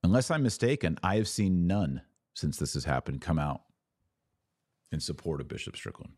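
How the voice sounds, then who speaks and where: A man with a low-pitched voice hosts a live show in a conversational, direct style. He urges his listeners along as he moves through comments and commentary. The sound is dry, with very little room in it. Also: sing-song, moderate pace, a little mellow, slightly rough, dry recording. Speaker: podcast host. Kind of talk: casual live commentary, reading and answering viewer comments.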